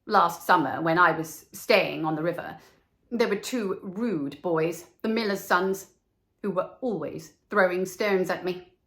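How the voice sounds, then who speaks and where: A woman's voice: fairly low pitch, measured pace, a bit bright, pitched below the performer's own voice. A woman voicing a proud, boastful little male swallow in a storybook, with haughty, emphatic delivery. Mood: haughty, indignant.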